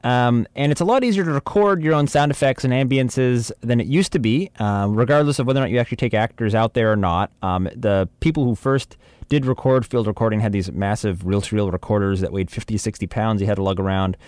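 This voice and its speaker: A man's voice: mid-range voice, a little fast, darkish tone, no echo. A man giving informal, chatty instruction as an audio drama producer, with wry humour.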